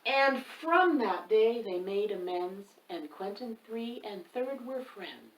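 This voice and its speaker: A woman reading a rhyming picture book aloud, with a lively, sing-song delivery and asides to the listener. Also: on the high side, slow. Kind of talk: expressive read-aloud storytime. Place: home room. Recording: roomy echo, mic at a distance.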